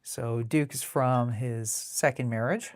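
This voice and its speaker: A woman with a deep contralto voice chatting casually and in a rambling way as she hosts a live drawing stream. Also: takes it slowly, darkish tone, dry recording, clean studio sound. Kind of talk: casual chat while drawing.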